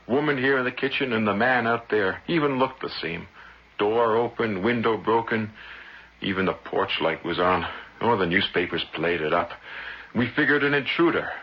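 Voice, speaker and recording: Casting: veteran policeman, low voice, grave and knowing, radio-drama delivery, muffled lo-fi sound with faint noise. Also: husky. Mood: grim, resigned. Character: seasoned male police officer, grave and knowing. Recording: dull, faintly noisy old radio sound.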